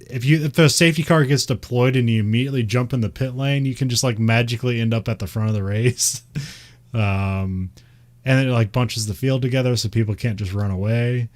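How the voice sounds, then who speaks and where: A man with a Midwestern American accent, chatting casually and rambling, with frequent laughs and fillers. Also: medium pitch. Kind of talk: casual chat about racing.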